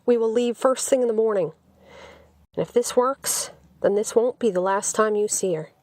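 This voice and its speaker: A woman's voice: a little high, moderate pace, darkish tone, no echo, close to an everyday voice. Audiobook role: earnest young man played by a woman, sincere, persuasive, dry studio sound. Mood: resolute. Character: earnest, devoted young man eager for adventure.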